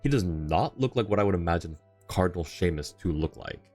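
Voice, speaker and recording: A man's voice: sits low, animated, fairly quick, a little mellow. A man doing casual live-stream game commentary, rambling and thinking aloud as he chats with viewers. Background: faint game music.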